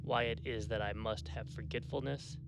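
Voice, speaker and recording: A man's voice: fairly low pitch, fairly even intonation, moderate pace, vocal fry, over loud music. A man playing a despairing, drug-haunted castaway who recites a last confession in a grave, formal horror-drama style. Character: despairing, morphine-addicted castaway writing his last confession. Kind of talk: dramatic gothic horror reading. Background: ambient musical score.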